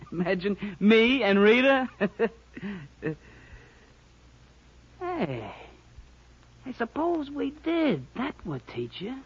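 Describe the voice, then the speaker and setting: A weary young husband in an old radio drama, hesitant and on the defensive. His voice is rough and husky with very lively intonation, on a muffled vintage recording. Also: high-pitched, very slow, pauses often, full character voice. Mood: drunk, giddy. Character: weary, evasive young jazz pianist husband. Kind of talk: 1950s radio drama acting.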